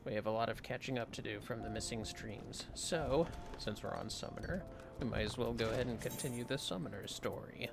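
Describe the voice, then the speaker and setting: A man hosting a game livestream, giving casual, rambling commentary in a soft, hushed voice. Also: mid-range voice, fairly quick, gravelly. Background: music.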